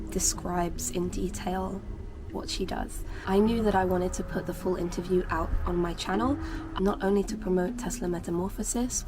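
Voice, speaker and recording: A woman from England, hosting her channel in a casual vlog introduction; her voice is husky and sniffly from a cold, and she talks in an earnest, reflective way. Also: fairly low pitch. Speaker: channel host. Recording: clear background noise.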